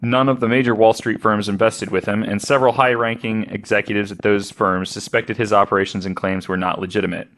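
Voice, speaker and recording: A man's voice: fairly low pitch, level tone, brisk, few pauses, a bit bright. A man hosting a podcast, reading researched notes aloud in an informal, conversational way. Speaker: podcast host.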